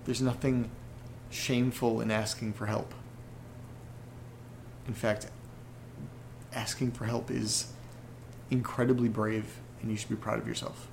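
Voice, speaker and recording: A man playing an uneasy horror podcast host who reads letters aloud, earnest and deliberate, with pauses between phrases. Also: medium pitch, little pitch movement, gravelly, a little hiss or hum. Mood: earnest, sympathetic. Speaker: audio drama podcast host character reading listener letters. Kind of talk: dramatic narration in a horror audio drama.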